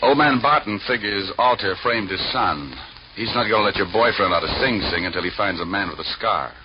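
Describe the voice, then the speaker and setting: An actor playing a cocky, hard-boiled private detective in a 1940s radio noir, glib and dryly wisecracking. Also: male voice, lowish voice, fairly quick, a little hiss or hum, close to an everyday voice. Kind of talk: 1940s radio noir acting and first-person narration. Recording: muffled old radio recording, loud music.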